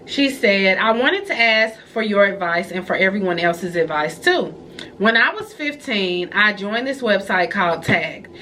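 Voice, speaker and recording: A woman's voice: medium pitch, animated, measured pace, pushed and bright, a little hiss or hum, low-quality recording. Nasal, congested young woman vlogger. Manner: chatty, reads viewer letters aloud with reactions. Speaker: vlogger giving advice. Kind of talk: casual advice vlog.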